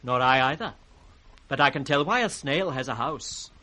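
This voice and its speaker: An actor playing an impish, riddling British court jester in a 1960s radio Shakespeare drama. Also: male voice, medium pitch, animated, moderate pace, bright, forceful tone. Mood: riddling, playful. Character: impish, riddling court jester, male, teasing his master.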